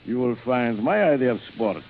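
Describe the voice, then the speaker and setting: An actor playing a suave, cruel aristocratic older general who hunts men, in urbane, theatrical 1940s radio thriller style with savored pauses. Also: male voice, mid-range voice, animated, lightly acted. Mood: silky threat. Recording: muffled, noisy vintage radio recording.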